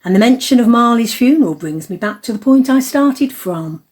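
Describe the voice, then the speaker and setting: A woman narrating an audio play in a deliberate, emphatic storytelling manner. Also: fairly high pitch, expressive, darkish tone.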